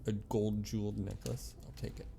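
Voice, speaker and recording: Guy streaming a game, low voice w lots of fry, casual muttered commentary. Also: flat delivery, a little fast, mellow, slight background noise. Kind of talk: casual live gameplay commentary.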